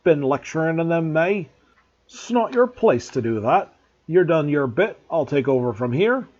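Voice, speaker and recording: A big gruff gamekeeper bellows in a raised, rough country way, growling his words and clipping his endings, voiced by a man reading aloud. The voice sits higher and louder than the narration and carries a husky grain. The recording is dull and lo-fi. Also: very animated, normal speed. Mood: impatient. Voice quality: gruff, growling, loud, rustic speech. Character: huge gruff rough-spoken male gamekeeper.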